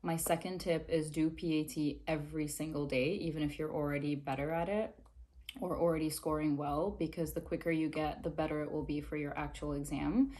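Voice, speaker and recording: A young woman from Zambia, a dental student, answering interview questions to camera in a measured, earnest way from a small room. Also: sits low, steady tone, mellow. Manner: measured, earnest, explains with hand gestures. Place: small indoor room, plain wall.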